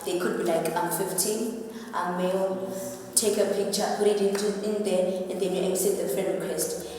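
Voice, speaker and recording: A teenage South African woman argues her points emphatically as a student in a group discussion. Her voice is soft-edged and fairly low, and it is picked up from a distance in a very reverberant room. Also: steady tone, brisk. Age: teenager, high school senior. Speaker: student panelist. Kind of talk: informal panel discussion. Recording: very echoey room, distant mic, faint noise.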